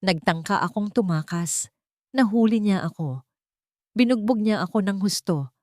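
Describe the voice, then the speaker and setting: A female narrator plays a frightened Filipino woman hiding from a cruel family in an audio story. Her voice is mellow and dark, bitter and pained in a dramatic way. It is recorded dry and close. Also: medium pitch, animated, normal speed, no echo, close to an everyday voice.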